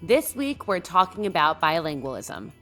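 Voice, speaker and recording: A young woman co-hosting a casual podcast, her voice a little lower than most women's. She is upbeat and conversational, and she reads out facts briskly. She grew up in Mexico. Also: very animated, fairly bright tone, soft background music. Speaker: podcast co-host. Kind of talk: casual podcast chat with scripted intro.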